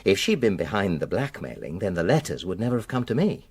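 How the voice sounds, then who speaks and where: Worried father, British accent, rough-edged husky tone, lively inflection, earnest, hesitant, naturalistic radio drama. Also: deep voice, quick pace, heavily acted. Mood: pleading. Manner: earnest, hesitant, anxiously pressing. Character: anxious middle-aged father and blackmail victim.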